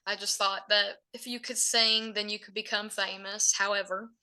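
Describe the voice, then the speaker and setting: A young woman with a Southern Appalachian drawl talks in long, rambling, earnest answers. Her voice is bright and forward, set at an ordinary middle pitch. She sounds like a country singer being interviewed on a podcast. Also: little pitch movement, moderate pace. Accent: Southern Appalachian American. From Virginia, USA. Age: young adult.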